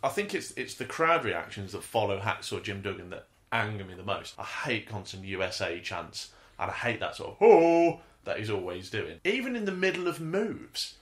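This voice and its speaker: A man's voice: fairly low pitch, sing-song, normal speed. Wry, ranting British man. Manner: wry, ranting, asks rhetorical questions. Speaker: podcast co-host. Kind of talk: casual podcast chat.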